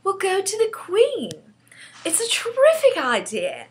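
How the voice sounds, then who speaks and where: A woman voicing an impassioned, determined little orphan girl in a storybook, excitable and insistent. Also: extremely high pitch, unhurried, pitched above the performer's own voice, exaggerated voice. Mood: excited. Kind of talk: storybook character voice.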